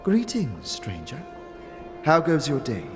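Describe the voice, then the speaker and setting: A man's voice: fairly high pitch, wildly swooping pitch, takes it slowly, big-room sound, music in the background, voice pushed up, exaggerated voice. A man voicing a poised, imperious teenage girl prodigy in an audio drama, speaking in a formal, commanding way. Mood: regal, composed.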